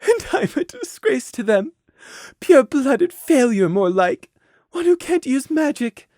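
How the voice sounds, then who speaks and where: A woman's voice: sits high, sing-song, a little slow, warm tone, no room sound, clean studio sound, pitched above the performer's own voice. A woman voicing the anguished, self-loathing thoughts of a unicorn mare in a storybook, tearful and despairing.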